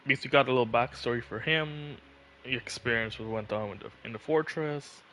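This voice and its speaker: A man's voice: mid-range voice, fairly quick, pushed and bright, a little hiss or hum, rough recording. A man livestreaming a video game, giving casual commentary.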